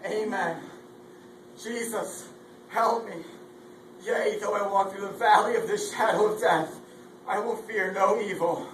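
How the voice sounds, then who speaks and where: A young man playing a calm husband facing death on a hijacked plane, earnest and prayerful, in a student readers theatre. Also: sits high, measured pace, long pauses. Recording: echoey hall from far off, noisy.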